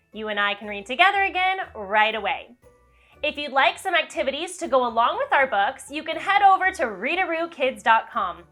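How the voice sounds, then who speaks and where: A woman presents to young children in an upbeat, scripted way. Her voice is high and bright with lots of melody, over light music. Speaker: host of children's read-along show. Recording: music under the voice.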